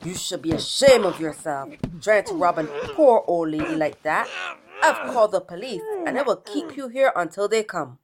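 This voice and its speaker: A woman voicing a stern, muscular male neighbor in a children's story, scolding forcefully and indignantly. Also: mid-range voice, sing-song, normal speed, crowd noise.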